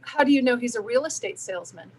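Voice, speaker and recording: A woman's voice: fairly high pitch, animated, fast talker, slightly rough. A woman playing a watchful, nosy suburban housewife in a staged play reading. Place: video call.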